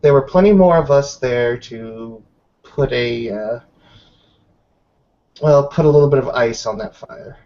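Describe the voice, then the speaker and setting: A man's voice: medium pitch, unhurried, pauses often, lo-fi sound. A man with a hesitant, wry way of talking, improvising and narrating in a roleplaying game. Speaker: player in an online roleplaying session.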